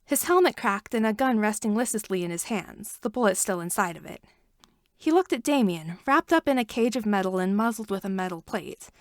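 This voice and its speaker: A woman reading a fan fiction chapter aloud with expressive storytelling and shifting emphasis. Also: medium pitch, a little fast, dry recording, studio quality. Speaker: podfic reader.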